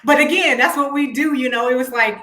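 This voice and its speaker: A woman's voice: sits high, brisk, pushed and bright. Earnest woman in an echoey room. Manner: earnest, reflective storytelling; faith-filled speech. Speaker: singer and guest. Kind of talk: casual interview chat.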